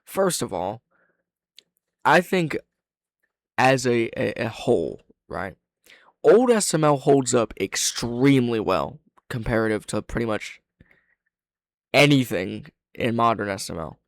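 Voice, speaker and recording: A man's voice: mid-range voice, sing-song, a little slow, long pauses, no echo. Young adult male reactor, frank and opinionated, sweary casual commentary, close dry recording. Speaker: reaction video host and reviewer.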